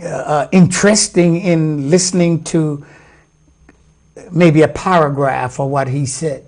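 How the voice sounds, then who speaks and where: A man's voice: on the high side, fairly even intonation, measured pace, darkish tone. A man in his sixties from Jamaica, a guest speaking reflectively and hesitantly about his life. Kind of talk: casual interview conversation.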